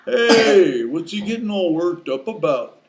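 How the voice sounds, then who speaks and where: A man's voice: high-pitched, sing-song, measured pace, roomy sound, heavy background noise, pitched above the performer's own voice, exaggerated voice. A man voicing dim, chatty moose brothers with a hesitant, folksy manner. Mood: amused, goofy.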